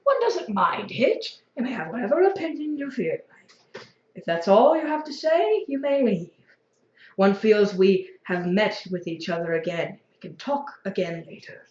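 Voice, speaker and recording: A woman's voice: medium pitch, very animated, normal speed, a little mellow, reverberant, lo-fi sound, close to an everyday voice. A woman playing a grandiose, effusively polite but unrepentant revolutionary zealot in an audio drama, speaking in formal, stilted phrases. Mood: placating. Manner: formal, stilted, refers to self as "one".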